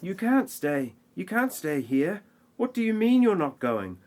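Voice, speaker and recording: A man acts out a bossy woman in a spoof audio play. The voice is thin, strained and high, pushed into exaggerated, urgent pleading. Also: very animated, unhurried, a little mellow.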